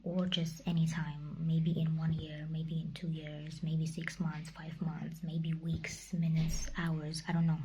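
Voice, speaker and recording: Young woman, whispered vlog, mellow, flat delivery, hesitant. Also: sits low, unhurried, few pauses, a little hiss or hum, rough recording. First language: Kazakh. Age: young adult. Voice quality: breathy whisper. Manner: whispered, hesitant, searching for words. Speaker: vlogger. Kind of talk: casual personal vlog.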